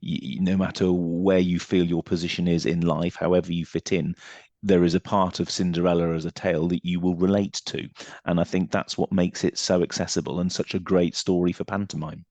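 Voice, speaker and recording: Male podcast guest, low register, structured and emphatic explainer, dry studio-like sound. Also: flat delivery, fairly quick, darkish tone, no echo, dull audio. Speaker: theatre company managing director, podcast guest. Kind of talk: thoughtful interview talk.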